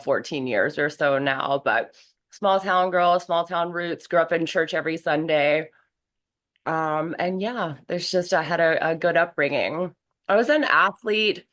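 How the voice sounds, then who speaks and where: A woman from North Carolina, hoarse from a cold, chatting as a guest in an interview with lots of fillers. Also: low-pitched, a bit bright. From North Carolina, USA. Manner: chatty, rambling, many fillers. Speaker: guest, professional wrestler. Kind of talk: casual interview conversation.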